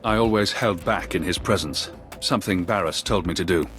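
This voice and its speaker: A man's voice: deep voice, fairly quick, a bit bright, soft background music. A man playing a loyal, confident Sith warrior in a video game, with a grave, assured delivery. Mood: proud, loyal. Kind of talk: dramatic video game acting.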